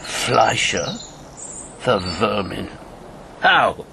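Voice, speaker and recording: A man's voice: fairly low pitch, animated, gravelly, sharp tone, a little hiss or hum, exaggerated voice. An actor in a radio drama playing an embittered, grieving old man, once a wartime secret agent, speaking with weighty deliberation. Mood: angry, defensive. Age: 70s. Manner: slow, weighty reminiscing with bitter outbursts.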